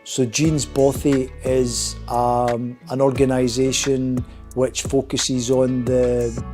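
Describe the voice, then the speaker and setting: Slow, even-toned man over soft guitar. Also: mid-range voice. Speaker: project member discussing a stage play. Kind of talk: documentary interview. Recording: faint guitar music bed. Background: soft guitar music.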